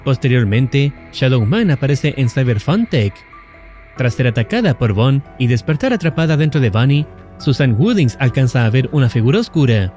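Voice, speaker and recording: Guy narrating horror lore, mellow voice, lively intonation, calm and explaining. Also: medium pitch, faint music behind. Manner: measured, explanatory.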